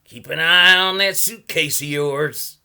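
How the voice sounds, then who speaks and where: A man voicing an odd, genial old salesman who talks in musing, folksy, halting asides. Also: fairly high pitch, pushed and bright, clear, resonant tone, voice pushed up, heavily acted. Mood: cautioning.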